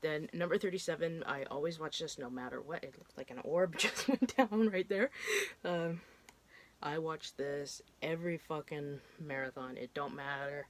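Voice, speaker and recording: A woman's voice: deep voice, moderate pace. Congested woman chatting about films. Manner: chatty, rambling, swears casually. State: stuffed up, congested. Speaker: horror film vlogger. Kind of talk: casual chat.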